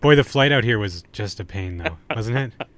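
A man's voice: on the low side, lively intonation, moderate pace, fairly bright tone. A man co-hosting a podcast in casual, irreverent, joking banter. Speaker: podcast co-host.

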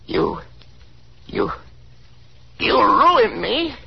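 A man's voice: very high-pitched, very animated, extremely slow, vocal fry, breathy edge, heavily acted. An actor playing an overwrought middle-aged father in a 1930s radio drama, agitated, stammering and folksy in his speech. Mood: anguished, indignant. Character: overwrought aging father, ruined small factory owner, defiant. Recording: muffled 1930s radio broadcast recording.

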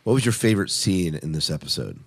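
A man's voice: sits low, sing-song, fast talker, creaky, slightly rough. A man hosting a podcast, chatting casually and conversationally about television shows. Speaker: podcast host.

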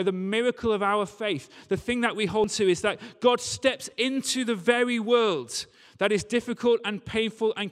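A man's voice: high-pitched, a bit bright. A man preaching a sermon to a congregation in an emphatic manner, using rhetorical questions and repetition. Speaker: preacher, church leader. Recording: reverberant hall sound.

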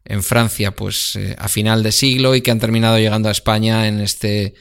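Middle-aged man, clear resonant voice, hesitant chatter with fillers and restarts. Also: lowish voice, flat delivery, normal speed, a bit bright. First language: Spanish. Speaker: guest. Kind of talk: casual conversation about books.

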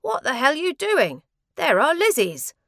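A woman voicing a hot-tempered, protective older brother on a farm, shouting and insulting in a storybook reading. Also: sits high, wildly swooping pitch, moderate pace, dry recording, clean studio sound, voice pushed up, exaggerated voice. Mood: furious.

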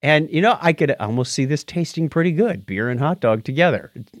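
Animated man in casual podcast chat. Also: fairly high pitch, a little fast, no echo, studio quality. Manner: jokey, chuckles often. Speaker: podcast co-host. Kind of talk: casual tech news chat.

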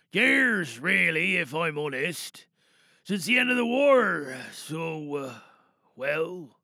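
Male actor as courteous, embittered ex-soldier in audio monologue; halting, apologetic, bright edge with gravel. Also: high-pitched, no room sound, studio quality, heavily acted. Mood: apologetic, hesitant. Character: bitter, courteous, self-loathing maimed war veteran addressing a lady. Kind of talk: dramatic monologue reading.

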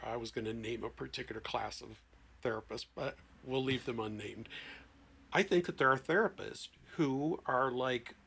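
A man talking as a therapist guest in an animated, conversational way, emphatic and given to acting out imagined dialogue. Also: medium pitch, creaky, breathy edge.

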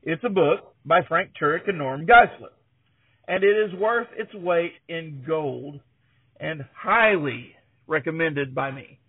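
A man's voice: a little high, takes it slowly, slightly rough, narrow, tinny audio. A man hosting a podcast, presenting in a conversational style. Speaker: podcast host.

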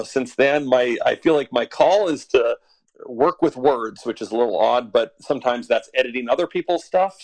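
A man's voice: mid-range voice, vocal fry, a little mellow, dry recording, low-quality recording. A man in his forties from western Canada, an author speaking as a podcast guest, thoughtful with frequent fillers and chuckles. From Alberta, Canada. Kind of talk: podcast interview.

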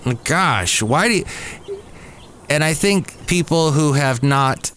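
A man's voice: fairly high pitch, expressive, takes it slowly, a bit bright, a little hiss or hum. A middle-aged man hosting a live podcast, telling digressive stories and correcting himself as he goes. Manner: digressive, self-correcting storyteller, chuckles at himself. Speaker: podcast host. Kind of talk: casual rambling monologue. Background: faint café ambience.

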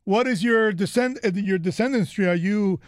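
A man's voice: sits high, moderate pace, a crowd in the background. A man from the United States hosting an interview in a rambling, chatty way, full of fillers and restarts. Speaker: host. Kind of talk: casual interview chat.

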